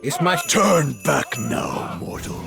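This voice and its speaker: Gravelly, menacing cyclops villain. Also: male voice, medium pitch, very animated, slow, heavily acted. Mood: contemptuous, wrathful. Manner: grandiose, threatening, theatrical. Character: arrogant, menacing cyclops warlord villain threatening mortals. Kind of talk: theatrical video game villain acting. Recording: dramatic music behind the voice.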